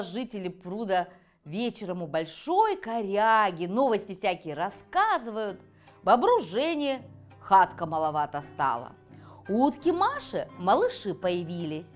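A middle-aged woman reading a children's fairy tale aloud in an expressive storytelling style. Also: fairly high pitch. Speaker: children's writer reading her own tale. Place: cosy furnished room set, indoors.

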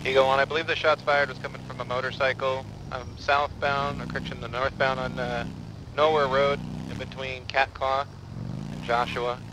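A man role-playing a police unit in a game, giving procedural, halting location reports in clipped radio style. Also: medium pitch, little pitch movement, creaky, background noise.

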